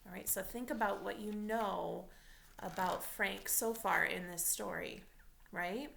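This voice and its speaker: A woman reading a picture book aloud like a teacher, pausing to explain and ask questions of the listeners. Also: mid-range voice, fairly even intonation, vocal fry, a bit bright, slight background noise. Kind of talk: expressive storybook read-aloud with teaching asides.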